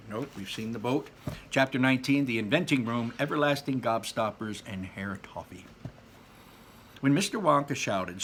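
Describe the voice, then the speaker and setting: A man's voice: fairly low pitch, fairly bright tone, a little hiss or hum. A man reading a children's book aloud as a storyteller, with dramatic emphasis on descriptive words and casual asides.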